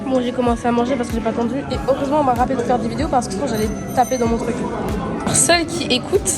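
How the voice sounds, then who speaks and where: A young woman talks to her camera in a loose, rambling vlog style, her voice a little hoarse from a cold. Her voice sits fairly high. Music plays clearly in the background. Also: rapid-fire, over a noisy crowd. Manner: rambling, many fillers and restarts. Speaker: vlogger. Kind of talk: casual vlog chat to camera.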